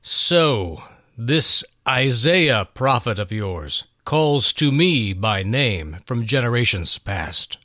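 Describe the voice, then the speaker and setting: A man voicing a proud, awestruck ancient king who proclaims his decrees in a grand, deliberate manner in a dramatic Bible story. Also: medium pitch, very animated, pushed and bright, dry recording, studio quality, exaggerated voice. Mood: solemn, awed.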